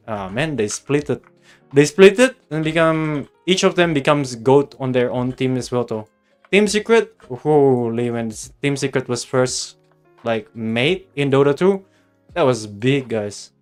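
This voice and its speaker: A man from Australia hosts a casual game livestream. His voice sits a little higher than average for a man, and he talks in a chatty way straight to his viewers. Faint music plays behind him. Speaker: livestream host and guide maker. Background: background music.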